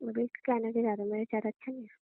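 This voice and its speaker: A woman's voice: on the high side, steady tone, racing, vocal fry, no echo. A young woman sweet-talking her partner on a phone call, coaxing and then turning indignant. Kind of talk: playful scripted phone banter.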